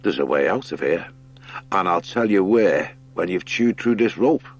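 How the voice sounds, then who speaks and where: Guy doing a grumpy sneaky rat for an audiobook, low and gruff, contemptuous. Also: expressive, normal speed. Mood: surly, scheming. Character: surly, scheming male rat captive. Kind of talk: audiobook character acting.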